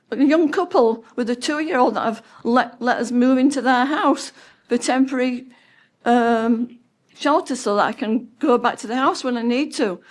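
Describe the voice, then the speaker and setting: A seventy-year-old woman giving public comment at a county meeting, distraught and close to tears, halting and breaking off mid-word. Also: sits high. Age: 70s.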